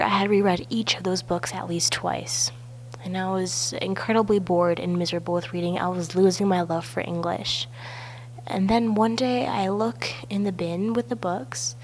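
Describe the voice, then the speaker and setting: A young woman from Belarus, a native Russian speaker, with a raspy, stuffy voice, narrating her personal story for a class project. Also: mid-range voice, slight background noise, low-quality recording. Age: young adult. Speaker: student. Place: outdoors at a balloon festival.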